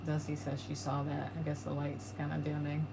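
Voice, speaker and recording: A woman co-hosting a paranormal livestream, reading viewer comments aloud in a flat, monotone way. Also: low-pitched, moderate pace. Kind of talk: casual livestream chat. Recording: clear background noise.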